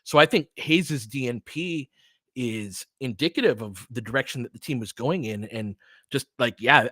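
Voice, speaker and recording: A man giving casual, analytical basketball commentary off the cuff, thinking aloud in a halting, conversational way. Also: medium pitch, a bit bright, no echo, studio quality. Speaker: audio play-by-play host and basketball analyst. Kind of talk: casual off-the-cuff sports commentary, answering listeners.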